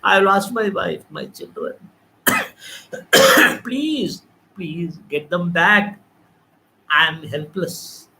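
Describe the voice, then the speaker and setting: A man's voice: sits high, animated, drawn-out words, vocal fry, long pauses, background noise, full character voice. A man voicing Narada, a man lamenting the loss of his wife and children and pleading for help. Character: grieving man who lost his family, despairing.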